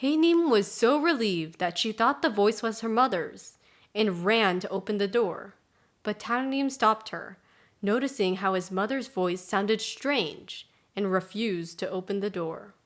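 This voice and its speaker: A woman's voice: medium pitch, expressive, a little slow. A woman storyteller reading a scripted folktale narration.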